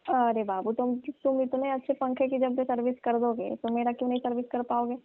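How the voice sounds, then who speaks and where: A woman's voice: a little high, flat delivery, brisk, no echo, lightly acted. A woman playing a flirtatious, pushy village aunt who coaxes and teases a younger repairman in a comic phone skit. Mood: flirtatious, coaxing. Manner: coaxing, teasing, colloquial. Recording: tinny, dry phone-call recording.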